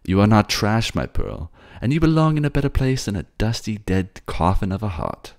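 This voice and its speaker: A man's voice: fairly low pitch, sing-song, normal speed, darkish tone, voice pushed up. A man voicing a protective, affectionate prince in an audio romance drama, with theatrical, courtly phrasing and a put-on accent. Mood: reproachful, firm. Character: protective, tender prince soothing his beloved, scolding rivals.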